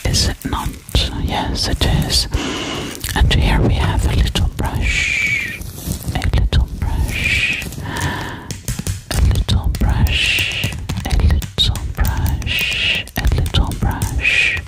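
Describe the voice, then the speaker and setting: Breathy whispering woman, very slow. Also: loud music behind the voice. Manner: gentle, slow, repetitive. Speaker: ASMR performer. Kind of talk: ASMR, breathy close whispering with repeated phrases. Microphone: Blue Yeti without cap, very close.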